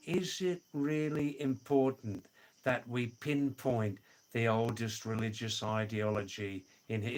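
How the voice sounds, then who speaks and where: An older man talks very slowly on his own livestream, reading viewer comments aloud. He drags out phrases and repeats words. His voice sits at a middling pitch, with chuckles now and then. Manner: slow, drawn-out, repeats words, chuckles "hoo hoo hoo". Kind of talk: casual live chat. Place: home in the Himalayas.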